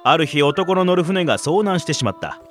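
A middle-aged man played by an actor poses riddles in a radio drama. His speech is rough and teasing, with a playful yet menacing temper. The pitch sits slightly high and moves a lot, with music faintly behind. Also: animated, a little fast, lightly acted. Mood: grave storytelling. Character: gruff, playful older stranger posing riddles, quietly menacing. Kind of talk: radio drama dialogue and storytelling.

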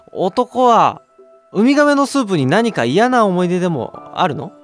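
A man's voice: sits high, big pitch swings, measured pace, faint music behind. An actor playing an impatient, easily puzzled young man with casual, slangy, whiny speech in a radio drama. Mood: curious, puzzled. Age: young adult. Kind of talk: radio drama dialogue with first-person narration.

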